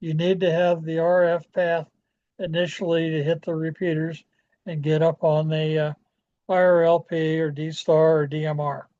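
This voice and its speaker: Dark-voiced man presenting, dull lo-fi audio. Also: on the high side, level tone, moderate pace. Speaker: amateur radio club presenter. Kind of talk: informal training presentation.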